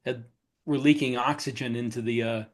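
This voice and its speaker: Man, medium pitch, podcast co-host, wry joking banter, casual delivery. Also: steady tone, normal speed.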